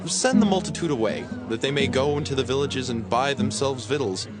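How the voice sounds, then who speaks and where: A man's voice: mid-range voice, lively intonation, a little fast, loud music behind the voice, voice pushed up, natural voice. A man voicing frightened male disciples in a dramatized scripture reading, urgent and fearful. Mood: urging.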